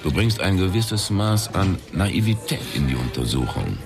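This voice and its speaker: An actor playing a wry, sardonic New York amateur detective in a German radio crime drama, with a deadpan delivery. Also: male voice, deep voice, moderate pace. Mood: wry, intent. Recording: music behind the voice.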